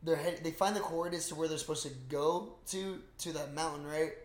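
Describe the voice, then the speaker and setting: Higher-voiced man chatting in an echoey room. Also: fairly even intonation, a little fast. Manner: profane, slangy bro talk. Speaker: podcast host. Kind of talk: casual movie recap chat.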